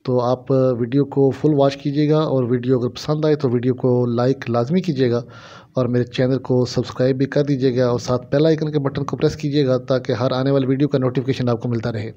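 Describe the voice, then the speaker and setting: A man's voice: mid-range voice, fairly even intonation, brisk, warm tone. A man with a Pakistani accent narrates a casual shopping vlog, explaining things repetitively to viewers into a handheld phone in a small shop. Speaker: vlogger showing shop goods. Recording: dull, lo-fi phone camera audio.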